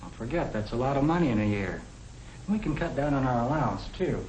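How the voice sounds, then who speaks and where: An actor playing a practical young American husband, plain-spoken and reasoning, in the style of a 1950 educational film. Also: male voice, medium pitch, animated, brisk, a little mellow, natural voice. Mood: persuading. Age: young adult. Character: young professional husband, practical, matter-of-fact, budget-minded. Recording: echoey, noisy 1950 film soundtrack.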